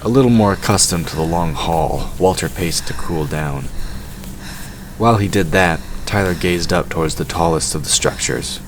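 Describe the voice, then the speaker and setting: Very deep-voiced man narrating, ambience behind. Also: normal speed, a little hiss or hum, poor audio. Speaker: audio drama narrator. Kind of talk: scripted fantasy story narration.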